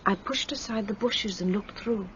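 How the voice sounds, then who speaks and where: An actress playing an earnest young woman, urgent and hushed, in a radio play. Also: mid-range voice, normal speed, close to an everyday voice. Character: earnest young woman anxious for her fiancé. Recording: dull sound with faint outdoor noise.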